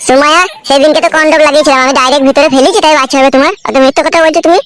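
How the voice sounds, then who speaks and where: A man's voice: very high-pitched, steady tone, racing, background noise. Man playing whiny, frightened young cartoon man. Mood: whiny, defensive. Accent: rural West Bengal. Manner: whining, defensive. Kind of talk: cartoon comedy dialogue.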